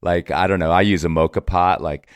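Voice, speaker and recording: A man with a low, deep voice hosts a podcast in a casual, conversational style. The sound is dry, with no room around the voice. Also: fairly even intonation, brisk, smooth, dry recording. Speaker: podcast host. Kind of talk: casual chat.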